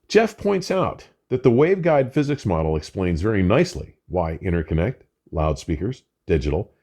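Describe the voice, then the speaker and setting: An older man presenting a scripted product review in a measured, emphatic way with formal wording. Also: mid-range voice, darkish tone. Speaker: audio equipment reviewer and host.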